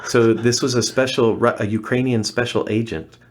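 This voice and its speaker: A man's voice: mid-range voice, steady tone, normal speed. A middle-aged man, a guest on an interview podcast, earnestly recounting his experiences in a casual, conversational way with frequent restarts. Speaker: interview guest, former missionary.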